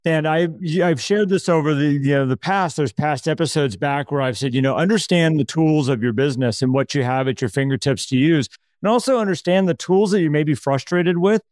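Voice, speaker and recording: A man co-hosting a podcast in casual conversation, telling things in an animated way and voicing imagined quotes. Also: fairly high pitch, a little fast, hardly any pauses, no room sound, clean studio sound. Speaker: podcast co-host.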